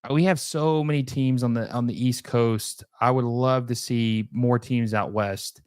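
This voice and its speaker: A young man chats casually on his live stream, drifting through thoughts with frequent fillers and false starts. His voice is smooth and resonant. The sound is dry and close, with no room around it. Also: medium pitch, steady tone, a little slow, darkish tone, no room sound. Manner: rambling, many fillers and restarts. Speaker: livestream host.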